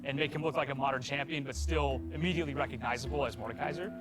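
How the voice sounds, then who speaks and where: Fast-talking man, game developer interview. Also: medium pitch, steady tone. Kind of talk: documentary interview. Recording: clear music under the voice.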